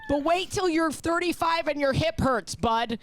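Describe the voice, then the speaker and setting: High-voiced, teasing woman. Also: normal speed. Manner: playful, mock-serious teasing. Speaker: podcast co-host. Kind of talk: casual comedic banter.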